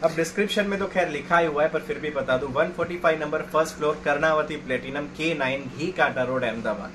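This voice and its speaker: A man who runs a wholesale clothing shop, giving a persuasive sales pitch for his stock. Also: quick pace, fairly bright tone. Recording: noisy shop, sometimes off-mic.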